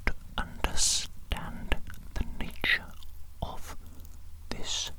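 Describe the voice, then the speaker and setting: A performer whispering as an evil mad doctor in an ASMR medical roleplay, fussy and controlling, softly repeating phrases to a little minion. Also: drawn-out words. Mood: patient, insistent. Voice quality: breathy unvoiced whisper.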